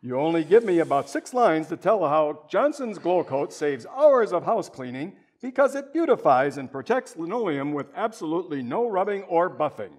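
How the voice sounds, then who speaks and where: A man's voice: a little high, big pitch swings, normal speed, hardly any pauses, darkish tone, slightly rough. A man playing a relentlessly salesy announcer in an old-time radio comedy, with upbeat, polished patter. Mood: enthusiastic, salesy.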